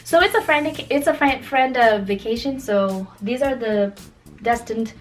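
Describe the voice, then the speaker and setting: A woman's voice: fairly high pitch, a little slow. Chatty young woman vlogger over music. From Kenya. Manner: animated, playful, emphatic, conversational. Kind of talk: casual chatty vlog.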